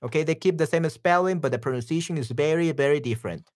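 A voice actor with a Salvadoran accent giving a casual language lesson, chatty and repeating words slowly, often checking in with the listener. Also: mid-range voice. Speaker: Spanish teacher and voice actor. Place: room with some echo.

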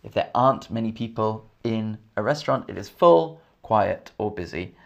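A man from England with an English accent, teaching a live online English lesson, slowly repeating each question and thanking viewers by name. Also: lowish voice, expressive, gravelly, muffled recording. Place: indoors, in Scotland.

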